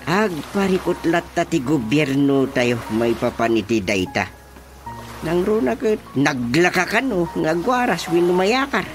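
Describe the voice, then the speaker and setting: A fussy, excitable village chairman in an Ilocano radio comedy. His Ilocano-accented voice swings widely in pitch as he scolds and exclaims theatrically. Loud music plays behind the dialogue. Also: a little slow. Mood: matter-of-fact.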